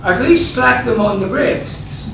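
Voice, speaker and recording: Seventies man from the audience, high and slow, loud halting question in a noisy, echoey hall. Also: gravelly. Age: 70s. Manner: loud, halting with many fillers. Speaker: audience member asking a question. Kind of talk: question from the floor. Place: public forum hall.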